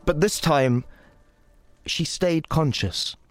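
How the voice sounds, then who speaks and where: A man's voice: fairly high pitch, takes it slowly, faint music behind. A man playing a protective, earnest young warlock, the romantic lead of a fantasy audio drama. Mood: reassuring.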